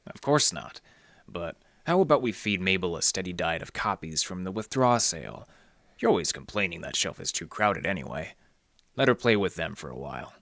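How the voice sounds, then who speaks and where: A man's voice: deep voice, big pitch swings, normal speed, gravelly, a bit bright, no echo, heavily acted. A man playing a wry, laconic, folksy hard-boiled detective in a noir radio drama. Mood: wry coaxing. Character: wry hard-boiled male library detective, easygoing fixer.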